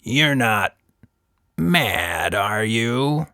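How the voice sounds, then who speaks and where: A man's voice: mid-range voice, sing-song, drawn-out words, sharp tone, clear, resonant tone, no echo, studio quality, heavily acted. A man voicing a small, mocking, cat-like red monster who bullies with feigned innocence in a children's story. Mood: mocking, taunting.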